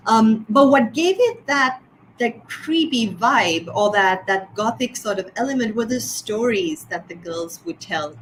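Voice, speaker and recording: A woman's voice: medium pitch, fairly bright tone, a little hiss or hum, rough recording. Thoughtful Sri woman author. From Sri Lanka. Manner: thoughtful, many fillers and restarts. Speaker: author guest. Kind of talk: casual interview answers.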